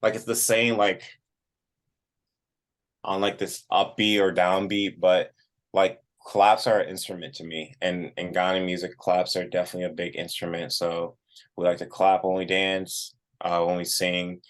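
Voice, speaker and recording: A man's voice: sits low, flat delivery, moderate pace. A man, a musician being interviewed, talking casually and informally with "like" dropped in often. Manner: informal, frequent "like" as filler.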